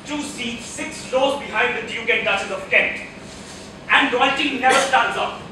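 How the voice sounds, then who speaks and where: Man playing exasperated husband, very high voice, melodramatic stage ranting, distant echoey noisy hall. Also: measured pace. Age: young adult. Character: frantic, short-tempered American husband, exasperated and self-pitying. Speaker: student stage actor. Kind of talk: live theatrical stage acting. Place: auditorium stage. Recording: echoey noisy auditorium, recorded from far off.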